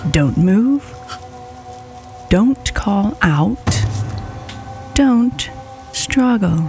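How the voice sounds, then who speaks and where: A woman playing a serene, coolly mocking killer with a sweet, crisp voice in a radio drama. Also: medium pitch, animated, mellow, soft background music. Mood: cold menace. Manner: slow, deliberate, mock-polite.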